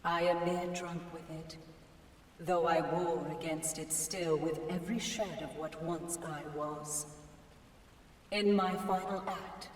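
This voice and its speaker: A weary cursed being, echoing. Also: male voice, a little high, fairly even intonation. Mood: solemn brooding. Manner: slow, solemn, brooding. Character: weary cursed male being bound by an alien will. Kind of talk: game voice acting. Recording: echoey hall from far off, faint noise.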